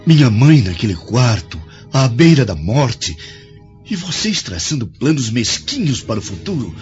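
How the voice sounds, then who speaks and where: A man's voice: medium pitch, very animated, normal speed, a little mellow, natural voice. A man playing a bitter, scornful young Roman nobleman in a Brazilian radio drama, in a theatrical manner. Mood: anguished, indignant. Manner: theatrical, scornful, dragging words. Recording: muffled recording with faint music.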